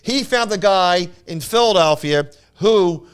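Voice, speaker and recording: A man with a smooth, fairly high voice spins a casual story on a podcast. He rambles along, stumbling over words and circling back to repeat phrases. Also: animated, unhurried. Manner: rambling, stammering, repeats himself. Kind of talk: casual anecdote telling.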